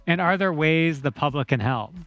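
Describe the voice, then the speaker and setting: A man hosting an interview, reading a scripted introduction and questions in a measured way. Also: fairly high pitch, expressive, a bit bright, dry recording. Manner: measured, read-from-script delivery. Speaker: interview host. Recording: dry close mic with faint music.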